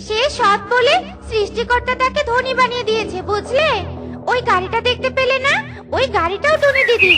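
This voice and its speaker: A woman's voice: extremely high pitch, normal speed, sharp tone, soft background music, voice pushed up. A woman voicing an outspoken, indignant female bird in a children's cartoon, scolding as she talks.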